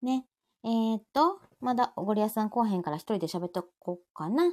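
A woman's voice: mid-range voice, expressive, fast talker, a little mellow. A woman in her forties with a Kansai accent, chatting casually and playfully as host of a live audio show, often giggly. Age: 40s. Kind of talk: casual chat.

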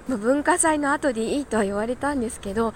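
High-voiced woman chatting casually, noisy background. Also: fast talker. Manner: chatty, laughs easily while talking. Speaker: podcast host and voice actor. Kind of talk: casual solo morning chat.

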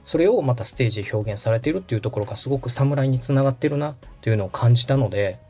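A man's voice: mid-range voice, animated, racing, warm tone, soft background music. A man hosting a podcast, interviewing and coaching a guest in a polite, instructive conversational manner. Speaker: podcast host, radio director coaching guest.

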